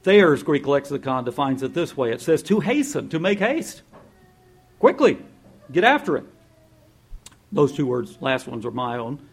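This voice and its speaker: A man delivering a sermon to his congregation in an easygoing, conversational voice of middling pitch. He laughs often and slips into playful impressions when he quotes people. His energy climbs when he urges the listeners on. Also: sing-song, measured pace, pauses often, slightly rough. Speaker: pastor.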